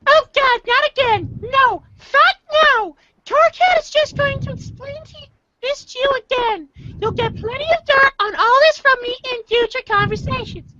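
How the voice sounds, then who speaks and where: Girl playing an angry troll kid, super high and piercing, shouty swoopy rants, muffled home recording. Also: normal speed. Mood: grudging, irritated. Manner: ranting, exasperated, shouty. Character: irate, condescending, foul-mouthed young male alien troll. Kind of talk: amateur reader's theater. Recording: dull lo-fi home recording, faint noise.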